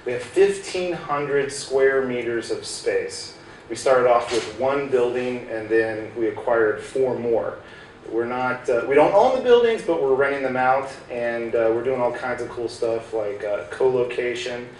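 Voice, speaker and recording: A man's voice: medium pitch, unhurried, a little mellow. A middle-aged American man giving a casual tech talk to a small group, with lots of "uh" fillers and restarts. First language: English. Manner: chatty, many "uh" fillers and restarts. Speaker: guest speaker at a hackerspace. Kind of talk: informal tech presentation to a small audience. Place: small room in a hackerspace with projector. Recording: roomy distant room sound, faint hiss.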